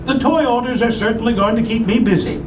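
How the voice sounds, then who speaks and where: A performer voicing a kindly, playful old Santa marionette in a children's puppet musical, gently coaxing and encouraging. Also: medium pitch, fast talker, background noise, lightly acted. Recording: roomy puppet theatre, distant, loud music.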